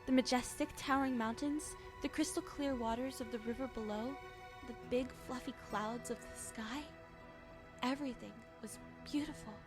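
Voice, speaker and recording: A troubled young game heroine with a fairly high, soft voice, as an actress plays her. She talks in a wistful, earnest way while music plays underneath. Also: fairly even intonation, moderate pace, natural voice. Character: troubled young woman with a hidden destiny. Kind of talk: game cutscene voice acting.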